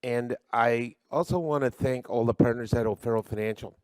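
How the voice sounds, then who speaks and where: A man's voice: medium pitch, normal speed, a little mellow, dry recording. A middle-aged man giving a humble award acceptance speech at a podium microphone, with many fillers. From Canada. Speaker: award recipient. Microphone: podium microphone, spoken into closely.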